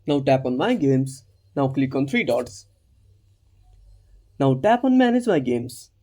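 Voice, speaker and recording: A man's voice: medium pitch, expressive, unhurried, dark tone. A man narrating a scripted step-by-step tutorial as its host.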